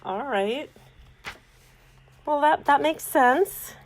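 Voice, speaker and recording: A woman's voice: sits high, expressive, extremely slow. A woman chatting casually as a producer interviewing someone by phone.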